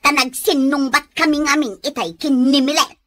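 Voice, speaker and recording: A woman's voice: sits high, fairly even intonation, moderate pace, a bit bright, no echo. A woman with an Ilocano accent playing an idle, easily offended, gossip-loving neighbor in a radio comedy, whiny and pestering. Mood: whining, indignant.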